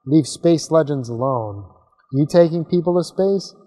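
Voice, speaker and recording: A man's voice: a little high, measured pace, mellow, big-room sound. A man voicing a scornful, boastful veteran rocket in a storybook, grumbling and scolding. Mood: scornful, dismissive.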